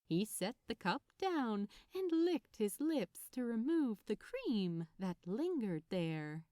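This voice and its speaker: Slow, expressive woman reading a story. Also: on the high side, no room sound, clean studio sound. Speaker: fan fiction narrator. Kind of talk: expressive scripted story narration.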